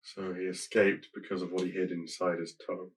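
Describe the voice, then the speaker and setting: Male co-host, very low husky voice, hesitant and sceptical, conversational. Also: level tone, normal speed. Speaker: podcast co-host. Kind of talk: casual chat, reacting and questioning.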